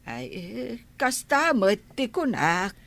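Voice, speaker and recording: A woman's voice: fairly high pitch, very animated, slow, gravelly, fairly bright tone, exaggerated voice. A woman playing a worried, nagging village mother in a radio drama, hesitant and pleading. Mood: troubled. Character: worried, nagging village mother fretting over her child. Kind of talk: Ilocano radio drama acting.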